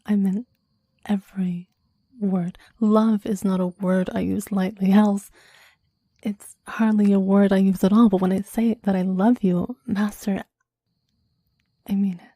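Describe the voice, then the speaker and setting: A woman acts out a devoted, clingy demon lover in an ASMR romance. The voice is very dark and soft, mostly a breathy whisper, unhurried and very dry at the mic. The delivery is intimate and yearning, with formal, reverent phrasing. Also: medium pitch, level tone, no echo. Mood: earnest, insistent. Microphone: close microphone, dry.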